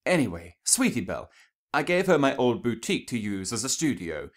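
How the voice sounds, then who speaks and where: A refined, fashionable female unicorn from a storybook, acted out by a man. The voice sits high above the reader's own, with an airy, affected gentility. Phrases are delivered with ladylike poise. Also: big pitch swings, a little fast. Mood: airy, chatty. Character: elegant young female unicorn, refined socialite dressmaker. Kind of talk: storybook character voice in fan fiction reading.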